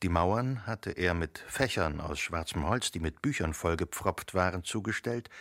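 A man with a gravelly voice narrating a literary radio drama from a script. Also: fairly low pitch, a bit bright, no echo, clean studio sound, heavily acted.

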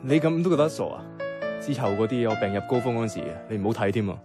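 A man with a Hong Kong Cantonese accent playing a caring, easygoing young flatmate in a radio drama, talking casually and earnestly. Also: medium pitch, quick pace, close to an everyday voice. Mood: bashful, amused. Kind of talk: conversational radio drama dialogue. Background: background music.

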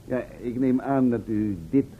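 A man's voice: fairly even intonation, lightly acted. Man playing a dry, formal assistant, tinny old radio. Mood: doubtful, tactful. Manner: measured, polite, dry. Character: formal, dryly courteous male assistant to a professor. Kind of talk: Dutch radio serial acting. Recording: phone-like old radio recording, rumbling noise.

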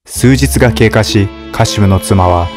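A male storyteller reading a fairy tale in a steady, measured way. His voice sits on the low side, and music plays clearly beneath the narration.

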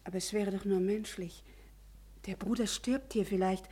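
An actress playing a conscientious, gently insistent office secretary in a 1950s German radio play, speaking earnestly and respectfully. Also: female voice, mid-range voice, steady tone, moderate pace, slightly rough, close to an everyday voice. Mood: concerned, pleading.

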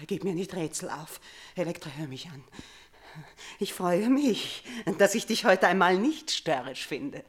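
A woman's voice: lowish voice, animated, unhurried. Haunted, imperious queen. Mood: commanding, coaxing. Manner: theatrical, imperious, swinging from murmur to outcry. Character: haunted, imperious queen and mother, uneasy with guilt. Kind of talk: classical German radio drama acting.

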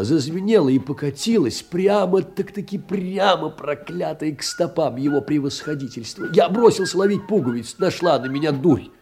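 An actor playing a poor, meek, aggrieved middle-aged clerk in a theatrical audio drama, plaintive and tender with agitated outbursts. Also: male voice, fairly high pitch, a little mellow, slight background noise. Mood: flustered, ashamed. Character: poor, meek, aggrieved clerk pouring out his heart in letters.

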